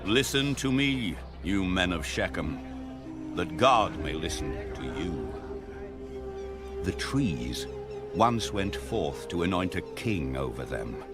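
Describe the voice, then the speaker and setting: An actor playing a solemn man who cries out a parable from a mountaintop in slow proclamation, in a dramatized scripture reading. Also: low-pitched, sing-song, loud music behind the voice, heavily acted. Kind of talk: dramatized audio Bible acting.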